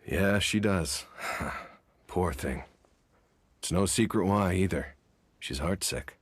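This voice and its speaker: A man's voice: low voice, slow, no room sound. A man playing a sympathetic, plain-spoken townsman in a video game, talking in a wistful, conversational way. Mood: pitying. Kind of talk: video game character acting. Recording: music behind the voice.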